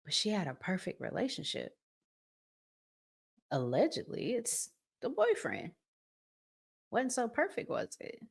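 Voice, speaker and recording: A woman's voice: mid-range voice, huge pitch swings, a little slow, vocal fry, long pauses, slightly rough. A middle-aged woman giving conversational relationship advice as a vlog host, emphatic, repeating phrases and asking rhetorical questions.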